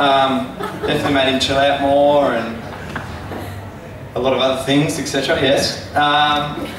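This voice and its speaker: A young man giving a joking, offhand best man speech from notes into a handheld mic at a wedding. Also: mid-range voice. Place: large hall at a wedding reception. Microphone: handheld mic through speakers. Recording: echoey hall with crowd noise, from far off. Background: laughing guests.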